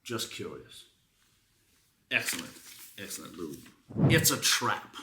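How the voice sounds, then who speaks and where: A man's voice: low-pitched, very animated, slow, background noise. A man with a Southern US accent co-hosting a comedy show, mixing casual banter with theatrical, mock-serious readings of product reviews.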